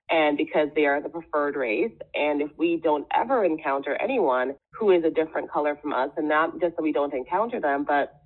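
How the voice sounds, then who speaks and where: A woman's voice: low voice, breathy edge. A woman from the Caribbean, a guest in an interview, speaking earnestly and emphatically, stacking up point after point. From Caribbean, Jamaica and Trinidad. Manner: emphatic, building long lists. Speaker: guest, diversity consultant. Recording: tinny phone-like line.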